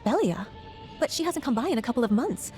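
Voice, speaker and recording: A woman's voice: on the high side, sing-song, fast, dark tone, faint music behind. An actress playing a kindly, motherly townswoman in a video game, speaking with tender sympathy. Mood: tender, concerned.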